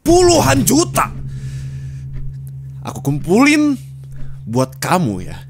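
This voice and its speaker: A man's voice: high-pitched, wildly swooping pitch, slow, full character voice. A young adult man playing a husband talking intimately and colloquially to his wife in an audio roleplay, close on the microphone. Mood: angry, scolding. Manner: intimate, colloquial, turning from soft to scolding. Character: young husband, affectionate then angrily scolding his wife. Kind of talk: Indonesian ASMR roleplay acting, addressed to the listener. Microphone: close studio microphone.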